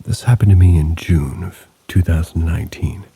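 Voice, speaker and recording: A man narrates eerie first-person stories in a low, gravelly voice. His tone is very dark and husky, and he keeps a measured, low-key storytelling pace. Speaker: host and narrator. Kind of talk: reading listener stories aloud.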